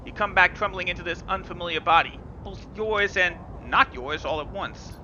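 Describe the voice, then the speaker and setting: A man's voice: sits high, moderate pace, pushed and bright, slight background noise. A man hosting a gaming video, reading game text dramatically with casual asides. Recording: dull lo-fi sound with game music.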